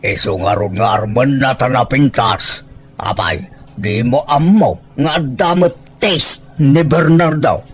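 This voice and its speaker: A man's voice: mid-range voice, slow, lightly acted. An actor playing a teasing, bossy older village man who coaxes like a matchmaker in a rural audio drama. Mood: confident.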